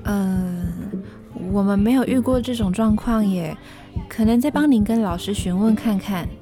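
A woman plays a considerate young female receptionist in a radio drama. She is measured and observant, with a soft-edged mellow tone. Faint music runs underneath. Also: medium pitch, natural voice. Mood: helpful.

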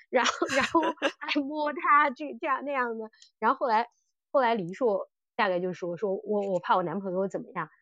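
A woman co-hosting a casual podcast chat, telling stories in an animated way and often repeating her phrases. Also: a little high, brisk, no room sound, highs cut off. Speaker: podcast co-host. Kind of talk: casual chat about audio dramas.

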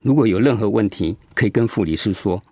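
An actor playing a kind, steady male oncologist in a radio drama, explaining things in a reassuring way. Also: fairly low pitch, fast talker, husky, dry recording, clean studio sound. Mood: encouraging. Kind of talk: Mandarin radio drama acting.

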